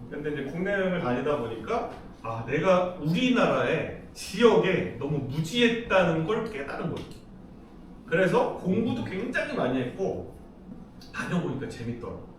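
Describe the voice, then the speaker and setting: A man's voice: fairly high pitch, distant mic, a little hiss or hum. A middle-aged man from Seoul giving a casual, chatty lecture, telling stories with frequent laughs. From Seoul, South Korea. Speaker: lecture speaker. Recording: echoey hall, speaker off-mic.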